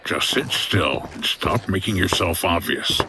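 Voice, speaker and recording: An actor playing a wry 1950s hotel owner and boat skipper in a noir comedy audio drama, dry and terse. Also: male voice, low voice, expressive, a little slow, vocal fry, noisy background, exaggerated voice. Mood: irritated. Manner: dry, hard-boiled, terse.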